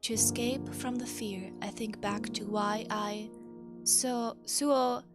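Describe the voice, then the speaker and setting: A woman's voice: a little high, steady tone, takes it slowly. A woman streaming a game, chatting casually and reading story text aloud, often hesitant and interrupting herself. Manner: hesitant, self-interrupting, soft exclamations. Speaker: VTuber streamer. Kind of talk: casual game livestream chat with read-aloud visual novel text. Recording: music playing behind the voice. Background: soft piano music.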